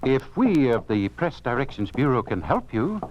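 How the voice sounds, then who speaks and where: A man acting a hesitant, blandly ominous government official in a 1950s radio drama, repeating himself with halting politeness. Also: medium pitch, big pitch swings, lo-fi sound, natural voice. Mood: smoothly probing. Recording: noisy 1950s radio recording.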